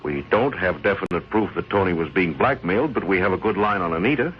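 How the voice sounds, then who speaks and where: The calm, dependable pal in a vintage radio thriller. His deep voice is measured and placating, with something evasive about it. The old recording is muffled and lightly hissy. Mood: explaining. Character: steady, dependable male friend, calm and evasive. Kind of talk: old-time radio crime drama acting.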